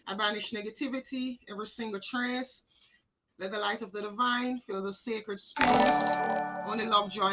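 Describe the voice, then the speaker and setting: A woman co-hosting a live psychic reading stream, chatting with viewers and greeting them by name, a little hoarse. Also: on the high side, sharp tone, poor audio. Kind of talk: casual live-stream chat.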